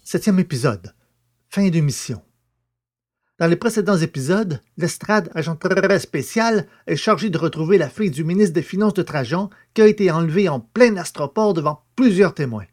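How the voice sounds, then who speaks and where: A man with a slightly high voice delivers scripted narration for an audio drama serial. He acts as the narrator, recapping in a storytelling register. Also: expressive, moderate pace, a little mellow.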